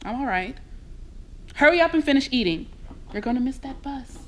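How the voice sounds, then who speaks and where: A woman playing a weary middle-aged nurse and mother, warm and wry, in a staged dramatic reading. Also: high-pitched, animated, normal speed. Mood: fond, fretting. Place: theater stage, live reading.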